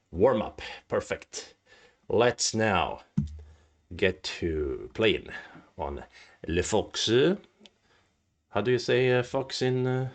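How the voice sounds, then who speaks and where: A man teaching go on a live stream, thinking aloud in a casual, musing way with a slightly stuffy nose. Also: on the low side. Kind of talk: live-stream commentary while solving go problems.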